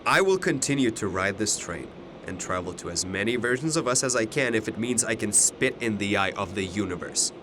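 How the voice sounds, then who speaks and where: An actor in a radio drama playing a bitter, zealous older alternate self who makes intense, persuasive, grandiose speeches. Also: male voice, fairly low pitch, lively intonation, fairly quick, noisy background, natural voice. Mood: bitter, fervent.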